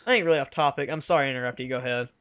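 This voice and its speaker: A man's voice: mid-range voice, very fast, fairly bright tone. A young man hosting a casual fan movie commentary, rambling and giving his opinions in an offhand chat. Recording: slightly dull lo-fi home recording.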